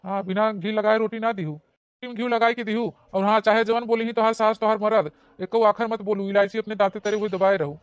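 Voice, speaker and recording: Woman doing awadhi cartoon baba, preachy fatherly advice, talks real fast, kinda muffled lo-fi. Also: medium pitch, steady tone, clean tone, dry recording, lightly acted. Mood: instructive, fatherly. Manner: repetitive, insistent instructing. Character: advice-giving male village holy man, fatherly and instructive. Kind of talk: cartoon comedy dialogue.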